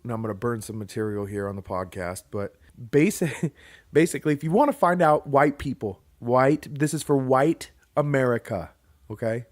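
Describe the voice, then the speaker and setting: A man's voice: medium pitch, expressive, moderate pace. A young man co-hosting a podcast, chatting casually with a loose, joking manner and casual swearing. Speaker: podcast co-host. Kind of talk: casual chat.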